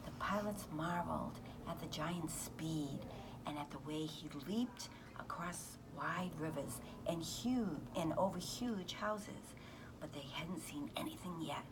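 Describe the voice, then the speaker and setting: A woman's voice: sits low, a little slow. Woman reading a children's story, noisy recording. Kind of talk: expressive read-aloud of a children's novel.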